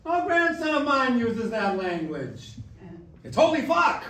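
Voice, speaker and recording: A man's voice: very high-pitched, very animated, a little slow, sharp tone, heavily acted. A man playing a feisty, foul-mouthed grandfather in his eighties, back as a ghost, in a staged musical reading. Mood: blustery, mock scolding. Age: 80s (played). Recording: echoey hall, distant mic, faint noise.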